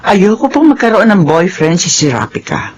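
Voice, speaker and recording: A woman's voice: medium pitch, sing-song, normal speed, darkish tone, slight background noise, close to an everyday voice. An actress playing a strict, worried middle-aged mother in a Philippine radio drama, brooding and suspicious. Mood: suspicious, stern.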